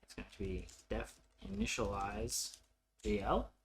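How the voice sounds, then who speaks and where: A man presenting a programming tutorial in a casual, unscripted way, haltingly thinking aloud as he works. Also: lowish voice, very animated, a little hiss or hum. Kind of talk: casual coding walkthrough, unscripted.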